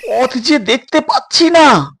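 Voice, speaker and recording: A frightened young boy in a suspense audio drama, played by a man. He speaks high and slowly, hesitant and pleading. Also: very animated, fairly bright tone, husky, exaggerated voice. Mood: nervous, pleading. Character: frightened, hesitant boy, wary of his captor.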